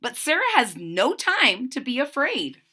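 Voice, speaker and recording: A woman narrates a children's story aloud. Her voice is bright and her pitch moves in lively swings. She takes her time, reading expressively and retelling in simple words. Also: a little slow, slightly rough.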